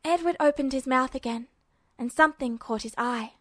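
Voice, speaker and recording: A woman's voice: sits high, moderate pace, a bit bright, dry recording. A woman reading scripted fiction aloud as a story narrator.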